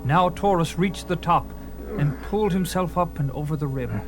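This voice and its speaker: A man's voice: fairly high pitch, normal speed, poor audio. A man giving theatrical, suspenseful narration of a dramatized story. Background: music.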